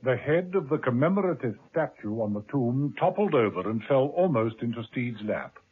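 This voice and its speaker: A man narrating a 1970s radio drama in a dramatic, measured storytelling manner. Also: medium pitch, big pitch swings, noisy background. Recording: tinny old radio broadcast with music.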